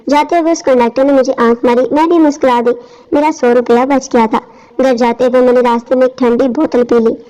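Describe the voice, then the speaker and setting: A woman's voice: sits high, a little mellow. A woman reading a scripted first-person story as its narrator. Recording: dull lo-fi audio.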